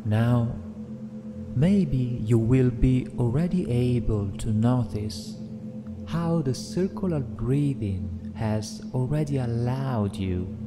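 A man narrating a guided meditation in a gentle, soothing, deliberate way, leaving long pauses between phrases. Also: medium pitch, animated. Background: meditation music with singing bowls.

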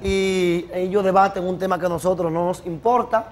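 A man's voice: sits high, fairly even intonation, heavy background noise. A man hosting a segment on a TV studio show, playful and teasing as he sets up a game. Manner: playful, teasing, quick jokes. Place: large TV studio with audience.

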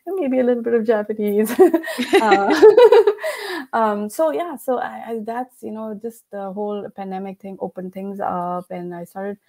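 A woman's voice: a little high, lively intonation, unhurried, warm tone. Chatty Indian-accented woman on a video call. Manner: chatty, laughs often, many fillers. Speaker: podcast guest, company founder. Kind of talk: casual interview chat. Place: home room, video call. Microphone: headset with mic.

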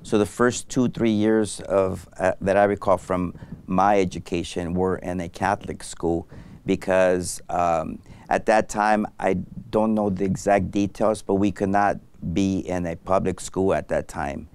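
A man's voice: low-pitched, fairly even intonation, measured pace, a little mellow, a little hiss or hum. A middle-aged man from Mexico, a doctor guest in an interview, speaking thoughtfully with many fillers and restarts on a lapel mic in a studio set. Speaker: anesthesiologist guest. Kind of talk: interview conversation.

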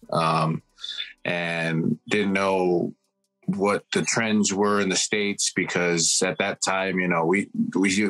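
A man's voice: sits low, a bit bright, low-quality recording. A man, reflecting at length as a guest on a casual video-call panel. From Samoa. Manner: reflective, frequent restarts.

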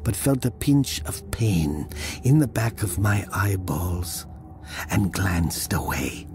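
Slow, gravelly haunted storyteller. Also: male voice, low voice, lively intonation, over music, exaggerated voice. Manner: slow, halting, hushed, intense. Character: haunted, fearful male storyteller recalling an eerie descent. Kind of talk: dramatic first-person audio drama narration.

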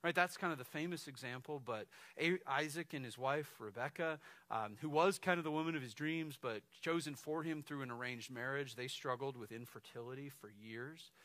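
A man in his forties preaches from a church stage, talking to the room like he's chatting with friends and tossing in "right?" again and again. His voice is bright and forward, and the sound is close and dry, with almost no echo. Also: medium pitch, moderate pace, hardly any pauses. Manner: animated, conversational preaching with frequent "right?" asides and gestures. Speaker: lead pastor. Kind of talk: sermon. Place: stage of a large dark church hall, indoors.

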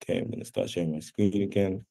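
A man teaches an online class in a low, mellow voice. He explains things in a casual, conversational way and keeps checking in with his listeners. He is speaking over a video call. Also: steady tone, fast talker, vocal fry. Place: video call with screen sharing.

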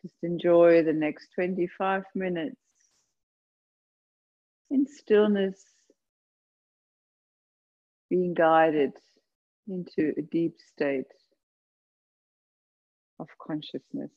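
A woman leading a guided meditation, speaking gently and unhurriedly in short phrases with long pauses between them. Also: fairly low pitch, phone-quality sound. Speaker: yoga nidra meditation guide. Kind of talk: guided meditation, slow soothing instruction.

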